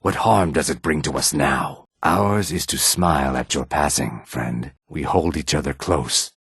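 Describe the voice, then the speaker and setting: A man voicing a gruff, combative male lizard-man townsman in a fantasy video game, with a raspy, throaty voice and a curt, theatrical delivery. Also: rumbling low, measured pace, a bit bright, no room sound, clean studio sound, full character voice. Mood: low, measured. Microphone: close studio microphone, dry.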